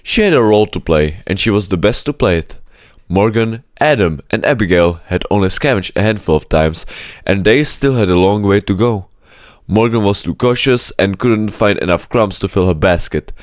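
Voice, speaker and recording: A man's voice: on the low side, lively intonation, moderate pace, dry recording, studio quality. A man narrating a scripted children's story as an audiobook-style reader.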